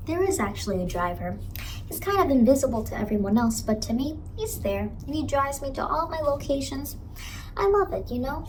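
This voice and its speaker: A woman voicing a cheery, eerie clown ice-cream vendor in a horror ASMR roleplay, softly coaxing and reassuring a child up close over background noise. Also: sits high.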